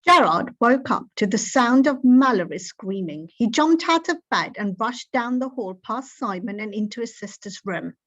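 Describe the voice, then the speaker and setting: A woman reading a children's book aloud in an expressive storytelling style, on a slightly dull recording. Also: on the high side, moderate pace, few pauses.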